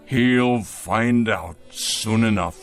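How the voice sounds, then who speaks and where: An actor playing a pompous, bitter ousted company boss in a science-fiction drama, neurotic, halting and imperious. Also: male voice, lowish voice, soft background music. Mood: wounded, resentful. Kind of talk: classic science-fiction radio drama acting.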